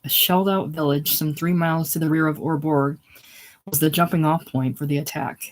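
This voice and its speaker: A man's voice: fairly high pitch, steady tone, fairly quick. A man reading literary war fiction aloud as an audiobook narrator, now and then stumbling and rereading a phrase. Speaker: audiobook reader.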